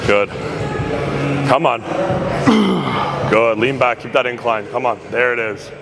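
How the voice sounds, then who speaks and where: A man's voice: medium pitch, fairly even intonation, a little slow, very noisy. A young Canadian man coaching a training partner through reps in a gym, pushing him on with short urgent calls. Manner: urgent barked encouragement. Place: gym with loud music. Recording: loud music behind.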